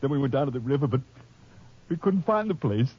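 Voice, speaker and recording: An actor playing a desperate, cornered male killer in a 1950s radio drama, with a husky voice. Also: mid-range voice, very animated, fairly quick. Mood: distraught. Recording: dull old radio transcription.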